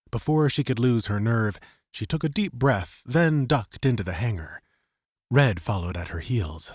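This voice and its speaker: A man narrating scripted fiction as an audiobook, with measured, suspenseful storytelling. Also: on the low side, husky, dry recording, studio quality.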